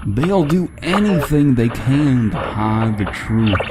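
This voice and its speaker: A man's voice: medium pitch, animated, takes it slowly, background noise. A man playing a pompous, earnest radio preacher delivering a solemn, sanctimonious sermon with formal wording. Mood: solemn, preachy.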